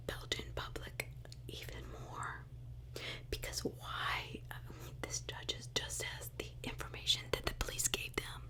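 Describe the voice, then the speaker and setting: A woman telling true crime as ASMR in a breathy, unvoiced whisper, conversational and opinionated, with rhetorical questions.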